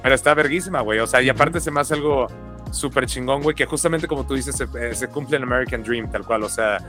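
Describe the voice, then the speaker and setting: A man's voice: medium pitch, quick pace, fairly bright tone, faint music behind. A man with a Mexican Spanish accent co-hosting a podcast, talking casually with lots of slang, fillers and restarts. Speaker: podcast co-host.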